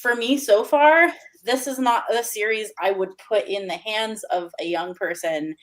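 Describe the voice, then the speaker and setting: A woman speaking in a measured, reflective way as a panelist in a casual book discussion. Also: mid-range voice, low-quality recording.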